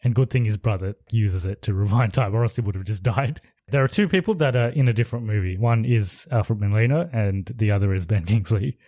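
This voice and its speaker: A man's voice: lowish voice, brisk, few pauses, warm tone, no room sound, clean studio sound. A man co-hosting a podcast, casually reviewing a movie in a rambling, laid-back way. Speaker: podcast co-host.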